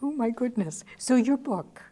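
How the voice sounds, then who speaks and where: A woman's voice: on the high side, lively intonation, measured pace, mellow, a little hiss or hum. A woman hosting a radio talk show, chatty and eager, telling anecdotes and asking her guest questions. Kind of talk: casual interview chat.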